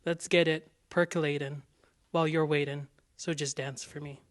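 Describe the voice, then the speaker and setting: A man's voice: fairly high pitch, level tone, normal speed. A man giving a dramatic spoken reading of verse. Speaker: news reporter reading lyrics.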